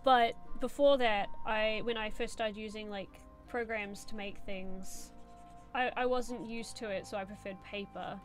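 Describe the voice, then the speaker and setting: A woman's voice: mid-range voice, fairly even intonation, a little slow, soft background music. A young adult woman chatting casually while she draws on a live stream, answering chat with many "like" and "um" fillers. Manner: rambling, full of "like" and "um" fillers. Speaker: streamer drawing and reading chat.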